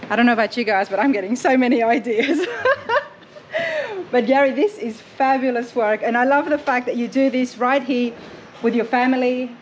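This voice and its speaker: Effusive middle-aged woman host, slightly high voice, laughs a lot, faint background noise. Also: steady tone, moderate pace, dull audio. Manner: enthusiastic, effusive, laughs often. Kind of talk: casual vlog interview. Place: large indoor garage workshop. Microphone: handheld camera.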